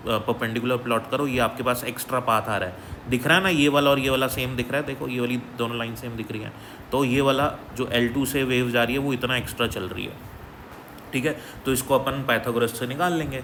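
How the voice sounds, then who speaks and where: A man's voice: medium pitch, fairly bright tone, a little hiss or hum. Man explaining a physics exam problem. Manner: explanatory, repeats "ठीक है" as a check-in. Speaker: physics teacher.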